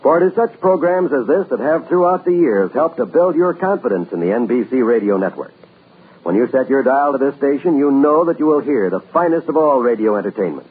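Husky 1940s radio announcer man. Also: mid-range voice, animated. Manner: stately, measured, earnest. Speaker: network announcer. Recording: tinny old radio broadcast.

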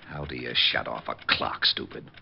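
An actor playing a gruff, skeptical male police lieutenant in a 1950s radio drama. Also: rumbling low, fairly even intonation, moderate pace, heavily acted. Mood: grumbling. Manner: gruff and grumbling. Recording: dull old radio broadcast with faint hiss.

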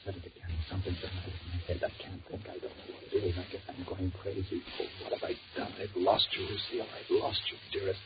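An actor playing a desperate, self-pitying husband in a 1940s radio horror drama, pleading frantically and repeating himself. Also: male voice, low-pitched, level tone, fast, hardly any pauses, far from the mic, lightly acted. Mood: distraught. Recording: muffled, very hissy 1940s radio recording.

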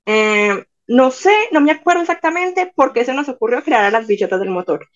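A woman's voice: on the high side, moderate pace. Chatty young Colombian woman, muffled line. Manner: chatty, animated storytelling. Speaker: podcast guest, Instagram page admin. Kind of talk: casual chat.

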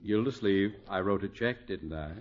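An actor playing an imperious, coldly demanding neighbor in a 1950s radio comedy, speaking in a clipped, pompous way. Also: male voice, fairly low pitch, moderate pace, close to an everyday voice. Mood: stern, accusing. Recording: muffled old radio broadcast.

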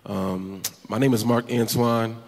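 Haitian-born pastor guy, low gravelly flat voice, joking w the crowd, echoey. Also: unhurried. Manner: warm, jokey with the crowd. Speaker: pastor and panelist. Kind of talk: self-introduction on a panel. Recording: echoey hall, faint noise.

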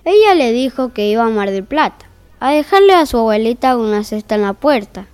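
A woman's voice: a little high, big pitch swings, fairly quick, a little mellow, clear, resonant tone. A woman playing an exasperated, bossy narrator in a comic fairy-tale audio drama. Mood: storytelling. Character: exasperated, bossy storyteller wrangling unruly characters.

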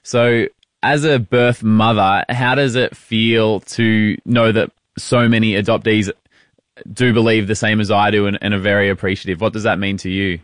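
Low-voiced man, gently questioning. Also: steady tone, moderate pace, smooth, no room sound, studio quality. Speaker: host and adoptee. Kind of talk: casual interview on a call.

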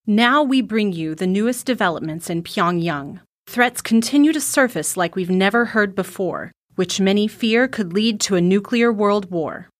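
Actress as a composed newsreader, measured and formal broadcast delivery. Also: female voice, medium pitch, expressive, close to an everyday voice. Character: news anchor, composed and formal. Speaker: news anchor Anne. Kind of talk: broadcast news reading.

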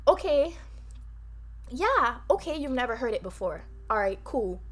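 Bajan-accented woman co-host, rapid and emphatic, a bit high-pitched. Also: big pitch swings. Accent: Barbadian. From Barbados. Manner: fast-talking, emphatic storytelling. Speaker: podcast co-host. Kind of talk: casual chat.

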